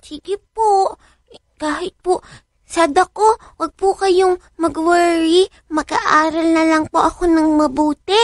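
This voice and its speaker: A woman playing a sweet, eager, polite little girl in a radio drama, speaking in a childlike, halting way. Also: extremely high pitch, fairly even intonation, no room sound, clean studio sound. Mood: sweet, wistful.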